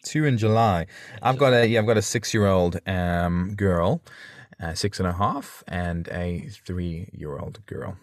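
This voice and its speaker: A man's voice: low-pitched, unhurried, no echo, clean studio sound. A man in his thirties with a South African accent, chatting as a friendly, self-deprecating podcast guest. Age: 30s. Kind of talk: casual interview chat.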